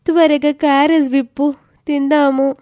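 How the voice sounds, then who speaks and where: A woman's voice: sits high, flat delivery, unhurried, dark tone, no room sound, clean studio sound, voice pushed up. A woman voicing an eager, hungry little girl, a younger sister, with a childlike manner.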